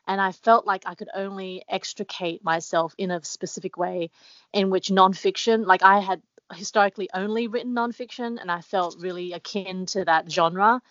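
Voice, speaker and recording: A woman's voice: mid-range voice, fairly even intonation, moderate pace, a bit bright, dry recording, lo-fi sound. A woman guest being interviewed, talking reflectively with many fillers and restarts. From Australia. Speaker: author guest. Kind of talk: casual interview talk.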